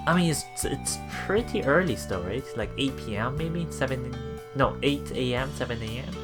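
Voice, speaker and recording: A man with a mid-range voice reads a visual novel aloud as a let's play host. He is halting, often stumbling and repeating words, and slips into casual asides. Music plays clearly behind him. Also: medium pitch, animated.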